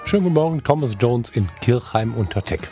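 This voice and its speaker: A man's voice: mid-range voice, animated, brisk, dark tone, faint music behind. A man co-hosting a podcast in casual chat, blunt and joking in the way he talks. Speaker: podcast co-host.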